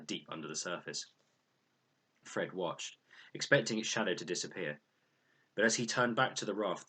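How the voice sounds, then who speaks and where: A man reading a children's adventure novel aloud as an expressive, scripted narration. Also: sits low, husky. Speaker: audiobook reader.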